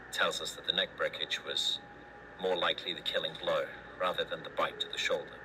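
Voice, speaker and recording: A low-pitched male doctor, played by an actor, dictates a grim horror report. He is formal, clinical and detached, choosing his words deliberately. The tape is clearly noisy. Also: natural voice. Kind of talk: scripted analog horror narration, recorded report.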